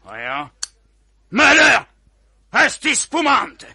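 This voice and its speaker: An actor playing a short-tempered army captain who barks orders in a radio comedy. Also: male voice, very high-pitched, sing-song, fairly quick, pushed and bright, husky, exaggerated voice. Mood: suspicious. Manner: barking, exasperated.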